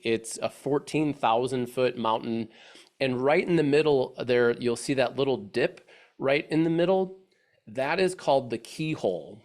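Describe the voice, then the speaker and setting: A man from the Chicago suburbs presenting a casual webinar, congested from allergies, talking in a friendly, rambling way with frequent restarts. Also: medium pitch. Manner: friendly, chatty, many restarts and fillers. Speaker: webinar presenter, agency head.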